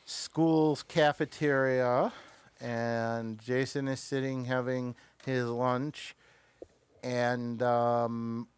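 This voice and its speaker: A man hosting a casual live show and narrating an audio play in a halting, informal way. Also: mid-range voice, clear, resonant tone, dry recording.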